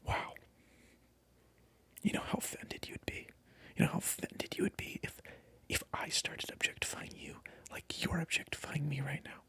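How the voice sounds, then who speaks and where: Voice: medium pitch, fairly even intonation, moderate pace, a bit bright, breathy edge, no room sound. A person performing a whispered ASMR monologue close to the microphone, addressing the viewer in a teasing, mock-indignant, repetitive way. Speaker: ASMR video creator.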